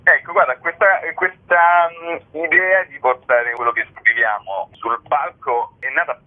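A man's voice: fairly high pitch, fairly even intonation, a little slow. Man explaining on a narrow phone-like line. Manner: explanatory, frequent hedging interjections. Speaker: interview guest, satirical news writer. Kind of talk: conversational interview answers. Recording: narrow-band telephone or radio sound.

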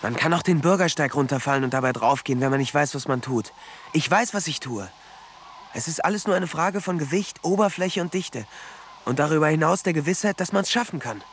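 A man's voice: a little high, animated, fairly quick, slight background noise. A man playing a dreamy, obsessive teenage boy in a radio drama, speaking in an absorbed, earnest way. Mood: confident, matter-of-fact. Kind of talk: German radio drama acting.

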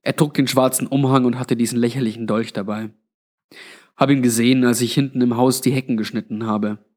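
A man's voice: medium pitch, fairly even intonation, brisk, darkish tone, natural voice. A man voicing a weary, blunt old undead man with a grave, resigned manner in a narrated story. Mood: grave recollection.

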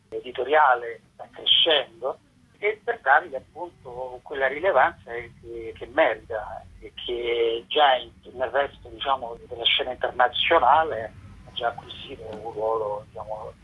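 A man explaining things as an interviewed festival organiser, in halting pieced-together phrases, over a telephone call. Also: mid-range voice, husky. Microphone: phone call. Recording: tinny, distorted telephone line.

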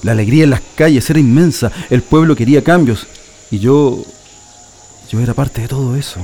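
A man's voice: medium pitch, fairly quick, warm tone, natural voice. An actor with a Chilean accent playing an older leftist man looking back nostalgically and earnestly in a radio drama. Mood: nostalgic, proud. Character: older Chilean leftist man warmly reminiscing about his militant youth.

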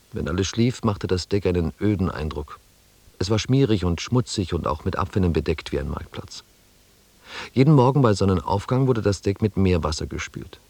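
An actor playing a sober expedition member who narrates a voyage in measured, literary German radio drama style. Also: male voice, low-pitched, a little mellow, dry recording. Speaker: first-person narrator.

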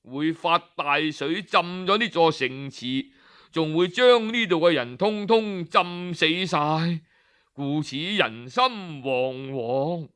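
A man telling a traditional folk tale in a measured, expressive way. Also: fairly high pitch, clear, resonant tone. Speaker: storyteller.

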